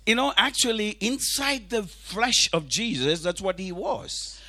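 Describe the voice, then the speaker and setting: A man whose first language is Tamil, preaching a sermon in English in a church, speaking in halting phrases with restarts for an interpreter. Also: high voice, lively intonation, bright, forceful tone. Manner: halting, restarts, rising intensity.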